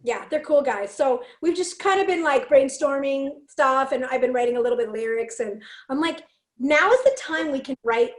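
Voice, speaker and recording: A woman from Canada with a raspy, fairly deep voice, telling stories in a casual chat and acting out the lines she quotes. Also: moderate pace, roomy sound. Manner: animated, acts out quotes, laughs often. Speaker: podcast guest, singer and speaker.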